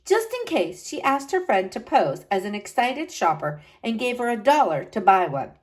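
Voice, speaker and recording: Woman, storybook read-aloud for kids, higher voice, big lively pitch swings. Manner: expressive, slow dramatic emphasis with wide pitch swings. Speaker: storyteller reading to children. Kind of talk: picture book read-aloud.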